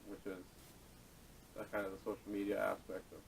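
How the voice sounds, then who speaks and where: Man, low voice, podcast host, halting phrasing, casual discussion, far from the camera mic. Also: monotone, creaky, a little hiss or hum. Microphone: distant camera microphone. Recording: distant, salvaged camera audio.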